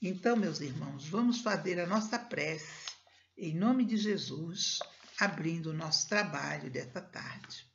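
Slow-speaking older woman on a video call. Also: on the low side, lively intonation, vocal fry. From Brazil. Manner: slow, measured reading with soft prayerful passages. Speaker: spiritist lecturer. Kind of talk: reading and prayer at a spiritist meeting. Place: home room, indoors. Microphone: laptop webcam on a video call. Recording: dull lo-fi video call audio.

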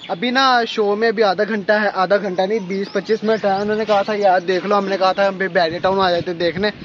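A man vlogging casually and excitedly on a phone while out and about at a show, repeating his catchphrases. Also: sits high, fairly quick. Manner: excited, keeps repeating "level" and "oye". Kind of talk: casual vlog chatter. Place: outdoors and at a show venue. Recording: lo-fi phone audio with street noise.

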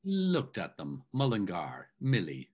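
Brief: older male narrator, lively pitch, slightly husky, expressive literary reading over webcam. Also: takes it slowly. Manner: savoring, expressive storytelling. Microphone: video call webcam.

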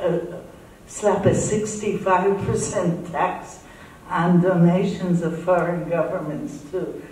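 An older woman born in Israel, speaking English with an American-sounding accent, explaining her points in a measured, emphatic way as a guest in a live on-stage conversation. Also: on the low side, a little mellow, slight background noise. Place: stage in a large dark theatre. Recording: echoey hall, voice heard from far off.

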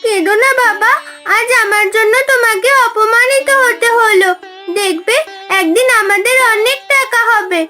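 A woman's voice: extremely high pitch, unhurried, over music. A woman voicing a sweet, wistful little village girl in a cartoon, childlike and plaintive. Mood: tearful, consoling. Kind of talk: Bengali cartoon folk-tale acting.

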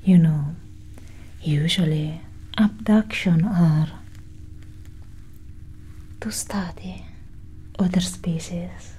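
A cool, curious alien abductress acted by a woman whispers in a low, very dark and extremely breathy voice. She speaks softly and intimately to her human specimen, with a condescending air, as in a close-miked ASMR roleplay. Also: heavily acted. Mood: coaxing, commanding. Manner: slow, soft, condescending, intimate. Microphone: close microphone.

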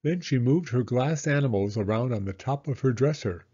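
A man narrating a children's story as a storyteller, in a measured, expressive manner. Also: mid-range voice, husky, highs cut off.

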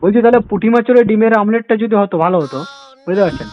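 A high-voiced man with a West Bengal Bengali accent rattles off a prank phone call. He is mock-polite, insistent and repetitive. The sound is muffled and lo-fi. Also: expressive, quick pace, slight background noise. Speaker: prank caller. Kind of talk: prank phone call, comic improvised chat.

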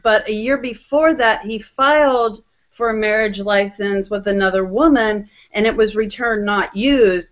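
A woman's voice: on the high side, a bit bright. An American woman chatting casually as a panelist on a web video call. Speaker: panelist on a genealogy web show. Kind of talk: casual chat. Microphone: webcam video call. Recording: dull, compressed internet call audio.

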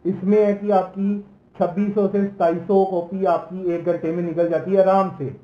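Higher-voiced man talking in a roomy office. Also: level tone, moderate pace, muffled recording. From Punjab, India. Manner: casual, hesitant, many fillers and restarts. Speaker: machinery company salesman. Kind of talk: product explainer video. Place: office seated at a desk.

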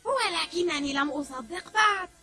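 A woman's voice: very high-pitched, animated, normal speed, pushed and bright, heavily acted. A woman voicing a suspicious, cranky old hermit woman in a dubbed cartoon, scolding and haughty. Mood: scornful. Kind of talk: Arabic cartoon dubbing. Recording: music under the voice.

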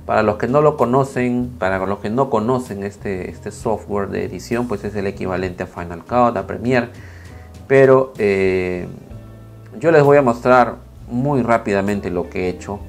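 A man in his thirties, slightly hoarse, talking casually on a lapel mic in a small home studio, with many fillers and restarts. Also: fairly low pitch, a little slow, soft background music. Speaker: video creator reviewing camera footage. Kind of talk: casual talk to camera, then screen walkthrough.

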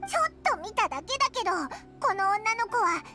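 A woman voicing a tiny, cheeky, excitable fairy companion in an anime-style game, childlike, with brash, boyish casual speech. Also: extremely high pitch, a little fast, music in the background. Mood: curious.

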